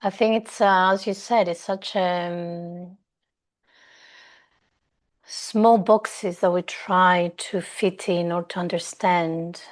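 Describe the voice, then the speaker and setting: A woman from Greece, whose first language is Greek, talks in English as a guest on a video call. Her voice sits a little low, and she takes her time, choosing each word with care. She is warm and reflective and laughs easily. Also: fairly even intonation, slow pace. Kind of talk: casual conversation.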